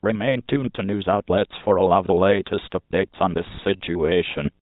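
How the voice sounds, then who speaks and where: A man's synthetic-sounding voice reads a scripted emergency alert in a formal, flat manner over narrow, phone-like audio. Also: sits low, normal speed, heavy background noise.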